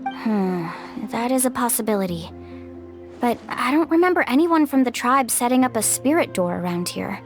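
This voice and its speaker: A woman's voice: fairly high pitch, animated, normal speed. An actress playing a friendly, encouraging young woman guide who leads the group in a video game. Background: game music.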